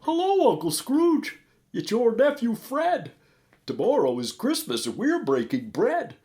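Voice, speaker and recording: Man putting on a high, cheery young nephew. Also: wildly swooping pitch, unhurried, exaggerated voice. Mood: cheerful, inviting. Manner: bright, high, eager inviting. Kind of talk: rhyming verse storytelling.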